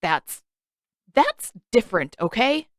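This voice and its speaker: A woman's voice: on the high side, very animated, slow, no echo, clean studio sound. A woman voicing a teenage boy, a sarcastic and defensive big brother with emphatic delivery.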